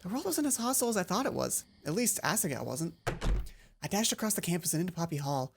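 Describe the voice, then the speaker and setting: A man's voice: high-pitched, sing-song, fast, creaky, background noise, exaggerated voice. A man narrating as a nervous young schoolgirl. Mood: hushed. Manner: storybook first-person narration, hushed and suspenseful. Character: young female student, nervous and wry narrator.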